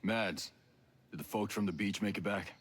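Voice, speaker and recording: An actor playing a gruff, terse, determined special forces soldier in a video game cutscene. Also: male voice, fairly low pitch, normal speed. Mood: subdued, determined. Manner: terse, matter-of-fact.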